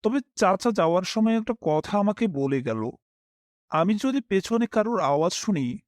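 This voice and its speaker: Casting: young man, high lively voice, polite first-person narrator for dramatised animated horror. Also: dry recording, clean studio sound.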